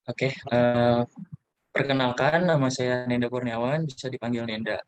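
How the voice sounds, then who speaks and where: A man's voice: medium pitch, fairly even intonation, normal speed, clear, resonant tone, no echo. A young man from East Jakarta, a guest in a casual interview, who answers in a laid-back, hesitant way. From East Jakarta, Indonesia.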